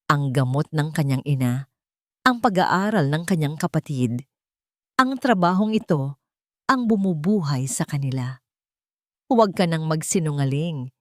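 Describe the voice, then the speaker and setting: A woman's voice: low-pitched, a little mellow, no echo, studio quality. A woman narrator reading a dramatic story in a scripted storytelling style.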